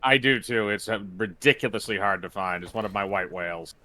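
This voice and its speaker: A man's voice: lowish voice, fairly quick, pushed and bright. A man co-hosting a livestream, chatting casually and bluntly, with some swearing. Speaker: livestream co-host. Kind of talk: casual chat about films.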